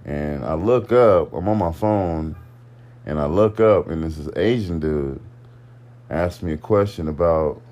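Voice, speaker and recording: A man's voice: sits low, a little slow, darkish tone, muffled recording. A man co-hosting a podcast in casual chat, laid-back and terse, saying little and explaining less. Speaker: podcast co-host.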